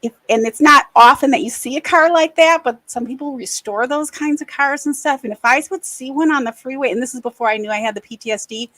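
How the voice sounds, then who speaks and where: A middle-aged woman from the American Midwest, hosting a podcast and speaking candidly and reflectively, often pausing and restarting. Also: high voice, fairly bright tone, dry recording. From Racine, Wisconsin, USA. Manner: earnest, reflective, halting. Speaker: podcast host. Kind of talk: candid personal conversation.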